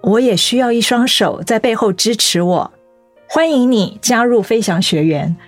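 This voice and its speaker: A woman's voice: a little high, animated, moderate pace, dry recording, faint music behind. A woman playing a determined, heartfelt middle-aged director in a radio drama, speaking earnestly. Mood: welcoming. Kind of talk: Mandarin radio drama acting.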